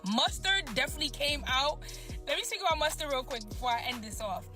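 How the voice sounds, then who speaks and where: A woman with a high, bright voice chats casually and tells a story with lots of slang and expression. Music plays clearly behind her. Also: expressive, fairly quick. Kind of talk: casual chat.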